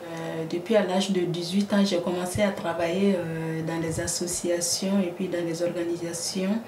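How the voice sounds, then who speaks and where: A woman from Mali telling her story of exile, hesitant with many pauses. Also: fairly low pitch, little pitch movement, darkish tone. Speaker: interviewee, project founder. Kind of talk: personal testimony. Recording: roomy with faint noise.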